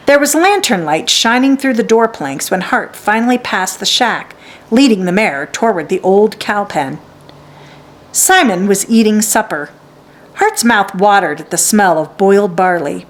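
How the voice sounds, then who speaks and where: A woman reading a children's story aloud as scripted, expressive narration. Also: medium pitch.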